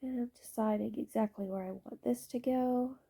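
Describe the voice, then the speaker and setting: A woman with a stuffy, congested voice narrating her scrapbooking process video, explaining her supplies in a relaxed way with occasional ums. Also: on the high side, moderate pace, creaky, husky. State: stuffy, congested from allergy shots.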